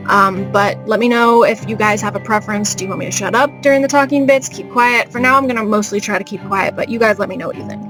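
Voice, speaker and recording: Female let's play host, informal rambling commentary at medium pitch, game music behind. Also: fast talker, few pauses, a bit bright. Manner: informal, rambling, addressing viewers. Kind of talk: casual, chatty gameplay commentary.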